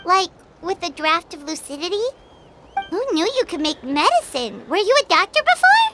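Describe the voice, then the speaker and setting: A woman's voice: very high-pitched, sing-song, normal speed, over loud music. A woman voicing a tiny, nosy, childlike fairy companion in a video game, with a perky, frank manner. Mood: curious. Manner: childlike, perky, refers to self in third person. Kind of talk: scripted video game voice acting.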